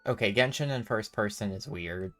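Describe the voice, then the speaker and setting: A woman's voice: rumbling low, moderate pace, a bit bright. A woman game streamer making casual, wry, chatty asides over gameplay. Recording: game music behind.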